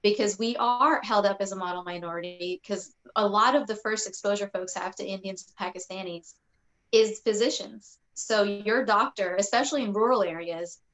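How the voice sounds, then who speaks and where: A woman's voice: medium pitch, normal speed, fairly bright tone, poor audio. A woman in her forties from Kentucky, a state representative, speaking earnestly on a video-call panel with frequent restarts. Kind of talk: panel discussion.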